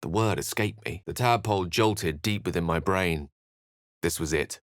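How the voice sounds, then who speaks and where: Casting: man to play a learned, wry game wizard with a low register and articulate, theatrical delivery, recorded dry. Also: moderate pace, dry recording, lightly acted. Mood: grave, haunted. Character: eloquent, scholarly male wizard with a wry temper. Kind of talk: video game voice acting, dramatic narration and dialogue.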